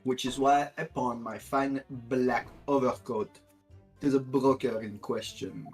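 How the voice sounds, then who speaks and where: Haughty gentleman witness for a courtroom game, played by a man: pompous, wordy, indignant, medium pitch, music underneath. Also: fairly even intonation, measured pace, lightly acted. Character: haughty, indignant gentleman witness, a well-paid communications officer. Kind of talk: fan-dub courtroom game read-aloud.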